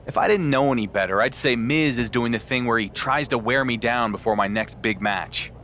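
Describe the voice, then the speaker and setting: A man's voice: medium pitch, brisk, fairly bright tone, a little hiss or hum, lightly acted. Blunt, defiant champion wrestler played by a man. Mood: impatient, irritated. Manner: blunt, confident, dryly sarcastic. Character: defiant, impatient male champion pro wrestler. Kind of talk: video game cutscene acting.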